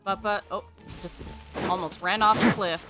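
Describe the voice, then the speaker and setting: A woman streaming a game, giving casual, halting reactions and musing aloud with little exclamations. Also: medium pitch, fairly even intonation. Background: game music.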